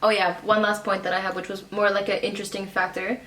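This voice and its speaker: Brief: young woman, German native speaker, talkative and rambling with lots of fillers, mid-pitched voice, recorded in an echoey room. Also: flat delivery, quick pace, fairly bright tone. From raised abroad in Cairo, Dubai and Shanghai. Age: young adult. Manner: chatty, laughs often, rambling with many fillers. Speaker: guest. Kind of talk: casual conversation.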